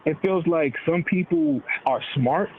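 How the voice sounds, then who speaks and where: A man's voice: a little high, unhurried. A man of Dominican background talking casually and animatedly about politics, in slang, often putting on voices for the people he quotes. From Dominican heritage. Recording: tinny phone-like line with noise.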